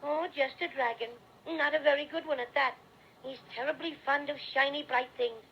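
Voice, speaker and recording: A cheerful little teddy bear with a gravelly Irish lilt. The voice sits high and rough, and it comes through a dull, lo-fi old radio recording. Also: male voice, steady tone, moderate pace, a little hiss or hum. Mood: friendly. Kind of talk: 1930s radio play acting.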